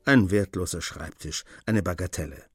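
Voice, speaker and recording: A man narrating a scripted radio play in a measured storytelling manner. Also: sits low, breathy edge, soft background music.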